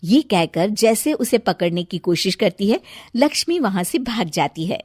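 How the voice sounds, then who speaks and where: A woman narrating a scripted story in an expressive storyteller's manner. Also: medium pitch, normal speed, husky, dry recording, clean studio sound.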